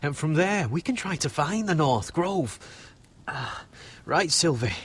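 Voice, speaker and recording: A man's voice: on the high side, very animated, unhurried, husky, heavily acted. A man playing a loyal young wildling brother in a fantasy video game, coaxing and conciliatory. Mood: hopeful. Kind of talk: dramatic video game acting.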